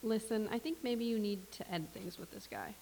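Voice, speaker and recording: A woman playing a dry, unimpressed cafe server who speaks deadpan in a staged play reading. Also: mid-range voice, moderate pace, slight background noise, close to an everyday voice.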